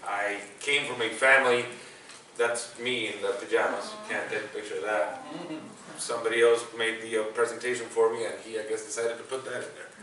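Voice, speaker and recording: A man's voice: fairly low pitch, little pitch movement, fairly quick. A man from El Salvador in his forties, speaking English with a Salvadoran Spanish accent as a guest speaker giving a self-deprecating, conversational talk to students in a university classroom. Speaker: guest speaker, sports broadcaster. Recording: distant mic, echoey classroom, faint noise.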